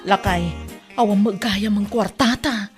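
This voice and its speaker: A woman's voice: mid-range voice, a little slow, faint music behind, natural voice. A woman with an Ilocano accent playing a fretful, nagging wife in a comedy radio drama.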